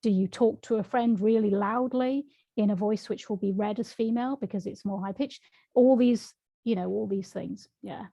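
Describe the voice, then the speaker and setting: Brief: frank woman author in interview, mellow tone, dry close sound. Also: medium pitch, moderate pace, creaky, no room sound. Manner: reflective, frank, laughs often. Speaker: author and guest. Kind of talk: conversational interview answers.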